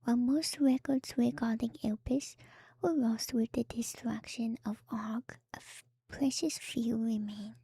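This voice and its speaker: A woman streamer whispering softly and breathily close to the mic in an ASMR style, gently repeating words as she reads and comments. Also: on the high side, fairly even intonation, unhurried, vocal fry, breathy edge, no echo. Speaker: VTuber streamer.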